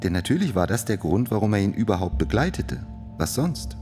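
A man's voice: lowish voice, sing-song, fairly quick, darkish tone. A man acting in a radio play as an insistent prosecutor, pressing an accusation with emphatic rhetoric. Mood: insistent, accusing. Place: studio. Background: music.

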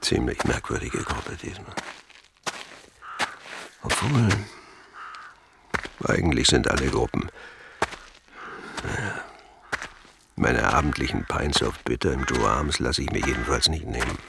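A man playing a gruff, bossy character who mutters and commands in a German radio drama. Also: a bass voice, lively intonation, unhurried, pauses often, noisy background, full character voice. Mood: grumbling to himself.

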